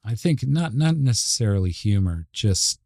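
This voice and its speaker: A middle-aged man from Montreal with a Canadian accent, talking casually and reflectively as an interview guest, with halting phrasing. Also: fairly low pitch, very animated, darkish tone, no echo, clean studio sound. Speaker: advertising creative executive, interview guest.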